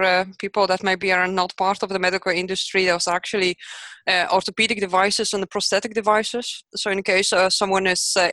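Female interview guest, bright forward voice, explanatory but halting, dry sound. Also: medium pitch, dry recording. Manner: halting, hesitant phrasing with very frequent pauses. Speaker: podcast guest, project manager.

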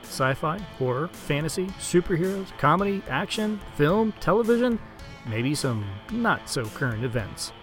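A man's voice: on the high side, big pitch swings, normal speed, fairly bright tone. Man announcing over guitar music. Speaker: podcast announcer. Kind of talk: scripted promo narration.

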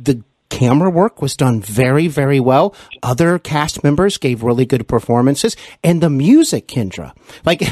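A man's voice: medium pitch, lively intonation, normal speed, a little mellow, no echo, studio quality. A man hosting a conversational interview, speaking hesitantly and in a complimentary way. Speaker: podcast host and interviewer.

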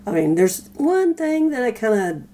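A woman's voice: on the high side, big pitch swings, moderate pace, mellow. A woman co-hosting a podcast in casual, conversational chat. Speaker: podcast co-host.

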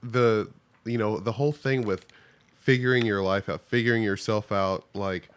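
A man's voice: lowish voice, fairly even intonation, moderate pace, clear, resonant tone. A man hosting a podcast, talking casually, joking and supportive. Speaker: podcast host.

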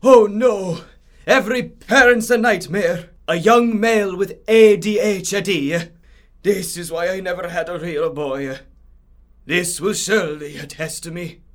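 A man's voice: sits high, a little slow, a bit bright. A man acting a lonely, rambling old woodcarver who grumbles theatrically in an audio drama. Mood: dismayed.

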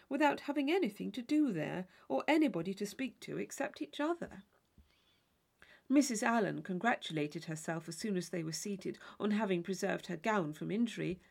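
Expressive, creaky-voiced woman audiobook narrator. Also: mid-range voice. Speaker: audiobook reader. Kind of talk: scripted literary narration of a classic novel.

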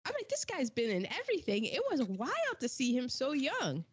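A woman's voice: sits high, wildly swooping pitch, normal speed, slightly rough, no echo, highs cut off. A woman co-hosting a podcast in casual chat, running through film credits with asides and false starts. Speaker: podcast co-host.

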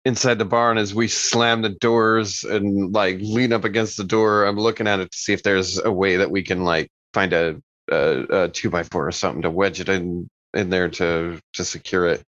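A man's voice: deep voice, fairly even intonation, moderate pace, few pauses, fairly bright tone, no echo, highs cut off. A man talking casually as a player in a tabletop roleplaying game, describing his character's moves.

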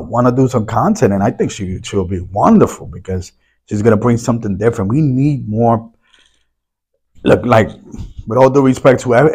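A hoarse man who is losing his voice hosts a solo podcast, rambling loosely and clearing his throat often. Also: medium pitch, darkish tone. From New York City. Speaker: podcast host.

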